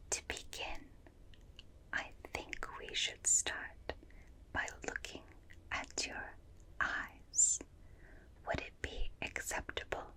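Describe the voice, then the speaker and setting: A woman voicing a gentle, curious alien examiner in a breathy whisper, slow and soothing, in an ASMR roleplay. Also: lightly acted. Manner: slow, soothing, softly clinical, repeats phrases. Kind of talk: ASMR roleplay, personal attention.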